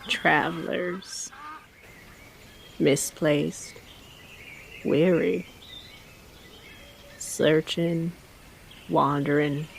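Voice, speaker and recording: A woman playing a mysterious, weary train advertiser in an audio drama, speaking in halting, solemn fragments. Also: deep voice, vocal fry, slight background noise, full character voice. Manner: halting, one or two words at a time.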